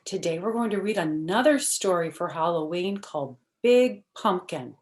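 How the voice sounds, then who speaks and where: A woman storyteller reading a picture book aloud for children in an expressive, deliberate, teacherly way. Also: on the low side. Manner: expressive, deliberate, simple phrasing. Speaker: storyteller, first-grade teacher.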